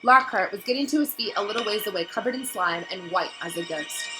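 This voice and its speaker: A woman narrating a novel aloud in an expressive, dramatic storytelling style that builds suspense. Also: mid-range voice, a little fast, music in the background, rough recording.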